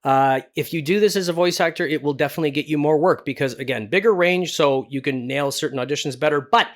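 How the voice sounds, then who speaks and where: A man's voice: on the high side, quick pace. Casual, encouraging man giving a tutorial. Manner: encouraging, informal. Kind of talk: casual instructional talk.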